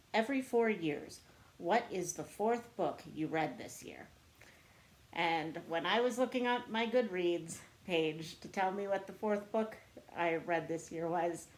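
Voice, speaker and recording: Slow-paced woman chatting casually. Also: fairly low pitch, animated, poor audio. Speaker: book vlogger. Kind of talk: casual chat to camera answering book tag questions.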